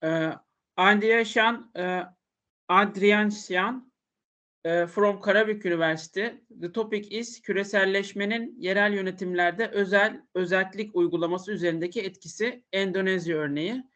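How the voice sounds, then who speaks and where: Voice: medium pitch, normal speed, fairly bright tone. A speaker moderating a conference session politely and hesitantly. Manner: hesitant, polite, filler-heavy.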